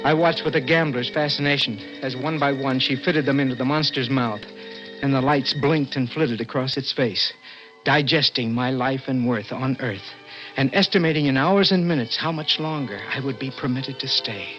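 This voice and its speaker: An actor with an American accent playing a condemned poet, earnest and haunted, in 1960s radio drama style. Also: male voice, medium pitch, normal speed, a bit bright, close to an everyday voice. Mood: haunted, reflective. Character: desperate condemned poet clerk, gentle dreamer. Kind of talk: 1960s radio drama acting with first-person narration. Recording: dull old radio recording with music.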